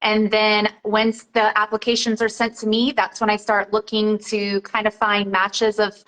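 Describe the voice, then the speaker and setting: A woman's voice: mid-range voice, completely flat delivery, measured pace, fairly bright tone, low-quality recording. A woman, an interview guest explaining her work in a casual, conversational style. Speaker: interview guest, student exchange coordinator.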